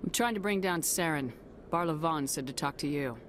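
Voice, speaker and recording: A woman's voice: sits low, fairly quick, a little hiss or hum, close to an everyday voice. A woman playing a composed, assertive military hero in a video game, speaking firmly and directly. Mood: direct, businesslike. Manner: direct, firm. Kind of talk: video game character acting. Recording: game music behind the voice.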